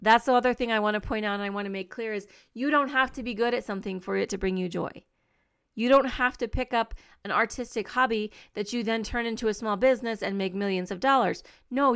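A woman's voice: medium pitch, creaky. A woman hosting a solo podcast, talking to listeners in an earnest, upbeat, encouraging way, with plenty of fillers. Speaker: podcast host.

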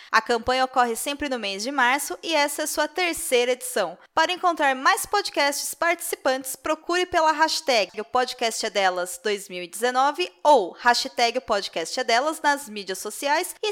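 A woman announcer reading a scripted campaign notice for a podcast in a clear, steady way. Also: high voice. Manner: clear, steady read-out delivery.

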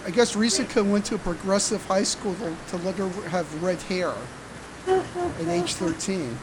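Animated podcast host, noisy recording. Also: medium pitch, normal speed. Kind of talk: casual chat about pop idol fandom.

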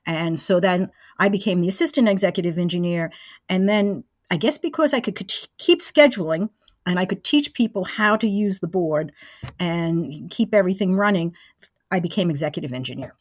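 A woman's voice: on the low side, moderate pace, no echo, studio quality. A woman in her sixties recounting her past step by step in a conversational interview, as the guest. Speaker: interview guest, former college radio engineer.

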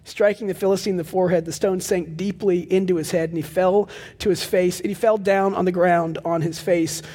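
A young man preaching a sermon as pastor of a church, with a loud, projecting voice and animated storytelling asides. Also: sits high, a little fast, long reverb tail. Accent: Texan. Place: large church hall with live audience.

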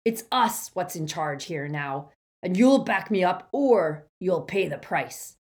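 A woman's voice: mid-range voice, lively intonation, a little slow, voice pushed up. A woman voicing a brutish, hot-tempered male wizard thug who talks in coarse dialect. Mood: menacing. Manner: loud, coarse dialect speech.

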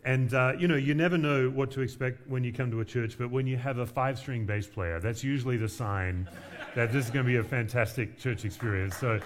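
A man's voice: mid-range voice, brisk, a little hiss or hum. A man from Western Australia preaching as a guest pastor, conversational with light humor and frequent fillers. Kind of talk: sermon.

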